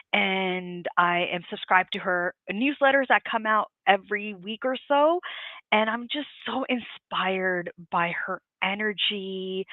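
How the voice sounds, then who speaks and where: A woman's voice: medium pitch, no room sound. A woman hosts a live online interview, talking in an effusive, gushing way and piling on fillers as she goes. Her voice comes through a thin, phone-like line that sounds dry, with no room around it. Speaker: interview host.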